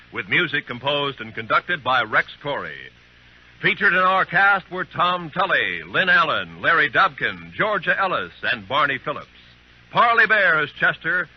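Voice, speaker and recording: A man announcing from a script in 1950s network radio style, with a husky voice. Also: a little high. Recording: muffled old radio recording with faint music.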